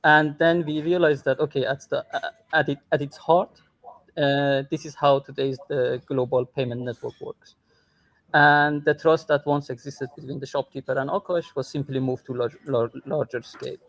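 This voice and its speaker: A man's voice: medium pitch, fairly even intonation, normal speed, fairly bright tone, no room sound. A man from Iran speaking conversationally as a tech co-founder and guest on a livestream call. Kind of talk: conversational self-introduction on a livestream. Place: airport, remote call.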